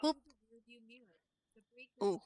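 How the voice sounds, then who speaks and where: A woman's voice: very slow, a little hiss or hum. A woman game streamer making short, casual reactions during a playthrough. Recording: loud game music behind.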